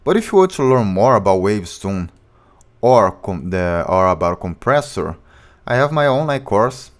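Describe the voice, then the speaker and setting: A man with a low voice, a music producer walking through his vocal chain step by step in a plain, informal tutorial. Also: big pitch swings, moderate pace. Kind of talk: tutorial walkthrough.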